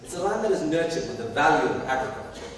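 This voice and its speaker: A man with a Ugandan accent giving a rehearsed startup pitch as CEO, emphatic and storytelling. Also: expressive, fast talker, slight background noise. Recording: echoey hall from far off.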